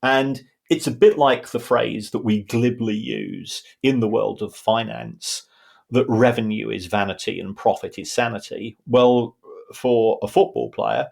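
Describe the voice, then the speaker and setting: A man's voice: fairly low pitch, a little slow, vocal fry, a little mellow. A man co-hosting a podcast, explaining football finance in a casual, talky way, often restarting his sentences. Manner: explanatory, many restarts and repeated words. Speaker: football finance pundit, co-host.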